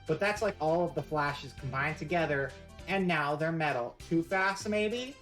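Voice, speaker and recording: A woman's voice: deep voice, moderate pace, a bit bright, faint music behind. A woman streamer giving a casual live-stream presentation, rambling and joking in a playfully mock-serious way.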